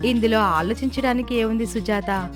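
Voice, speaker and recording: A woman playing a modest, devout village wife, speaking calmly and respectfully in a moral story. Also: fairly high pitch, normal speed, music in the background, close to an everyday voice. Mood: calm, sincere.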